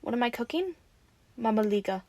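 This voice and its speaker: A woman acting in an audio roleplay as a friendly, excitable young woman who rambles casually and gets playfully defensive. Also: a little high, a little fast, dry recording. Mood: relaxed, reminiscing.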